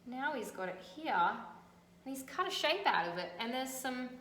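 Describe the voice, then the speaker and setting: An Australian woman teacher reading a picture book aloud and gently pointing out details in the pictures. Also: high-pitched, very animated, a little slow. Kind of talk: storybook read-aloud with commentary on pictures. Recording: echoey room, faint background noise.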